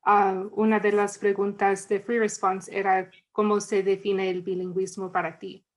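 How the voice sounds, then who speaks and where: A woman's voice: medium pitch, flat delivery, normal speed. A woman presenting survey results in an academic discussion, speaking hesitantly with many fillers and mixing in English phrases. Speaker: student researcher.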